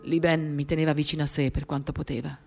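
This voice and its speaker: A woman giving an intimate, measured dramatic reading of a literary text, pausing between short phrases. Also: low-pitched, little pitch movement, creaky, mellow. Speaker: reader of a novel. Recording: guitar music under the voice. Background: acoustic guitar.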